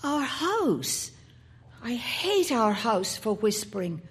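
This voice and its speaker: A woman's voice: on the high side, big pitch swings, slow, voice pushed up. A woman voicing an anxious, intense young boy who stammers and pleads.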